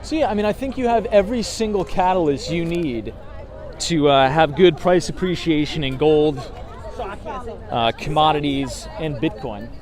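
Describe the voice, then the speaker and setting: A hoarse man with a slightly higher voice talks casually while walking city streets, recorded outdoors on a handheld phone. Traffic hums behind him, and his delivery is loose and chatty, full of fillers. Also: expressive, normal speed, a little mellow, a faint crowd. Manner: chatty, filler-heavy, frequent "right?". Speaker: vlogger talking markets on a city walk. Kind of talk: casual livestream chat, reading viewer comments. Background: traffic sounds.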